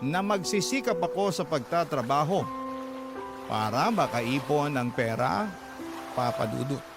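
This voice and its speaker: A man's voice: on the high side, animated, a little slow, gravelly. A man playing an earnest young working-class letter writer, narrating his life story with a Filipino accent in a radio drama. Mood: sincere. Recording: loud music bed under voice.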